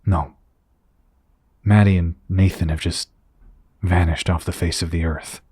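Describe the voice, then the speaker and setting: Husky, very low-voiced burdened hero man acting. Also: fairly even intonation, a little slow, darkish tone, no echo, studio quality, exaggerated voice. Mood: weary grief. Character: grim, burdened male superhero team leader. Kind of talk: audio drama dialogue.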